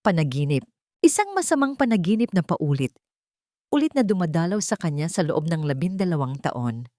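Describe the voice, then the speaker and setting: A woman reading scripted dramatic fiction as narrator, in deliberate short phrases. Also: on the low side, sing-song, mellow, no echo, clean studio sound. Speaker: story narrator.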